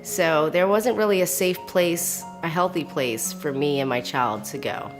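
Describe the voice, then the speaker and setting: A woman's voice: low-pitched, unhurried. A woman of thirty-five giving a personal testimony in an interview. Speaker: client of a charity, mother. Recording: faint music behind the voice. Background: faint music.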